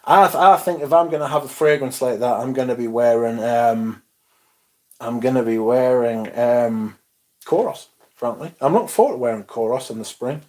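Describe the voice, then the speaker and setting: A man's voice: medium pitch, moderate pace, a little mellow. Young bloke rambling on his stream, casual, a bit sweary, reads out chat. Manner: laid-back, swears casually, mumbles asides, reads chat aloud. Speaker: livestream host. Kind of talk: casual livestream chat reading comments.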